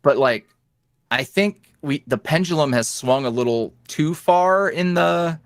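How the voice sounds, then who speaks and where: A man guest on a podcast, an ASMR roleplay creator, talking candidly in a rambling, filler-heavy way that swings from lively bursts to low mutters. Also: measured pace, dry recording, studio quality. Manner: swings between animated highs and low mutters. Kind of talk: casual interview chat.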